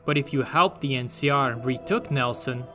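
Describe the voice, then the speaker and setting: A man's voice: mid-range voice, moderate pace, pushed and bright. A man reading scripted narration as the narrator of a gaming channel. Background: faint music.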